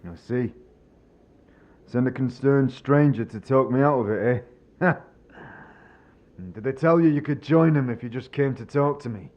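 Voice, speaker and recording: A man's voice: mid-range voice, a little slow, long pauses, dull audio, close to an everyday voice. A man acting a bitter, despairing man who has lost all faith, brooding and sardonic, in a fantasy audio drama. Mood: haunted, despairing. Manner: brooding, sardonic, rhetorical questioning.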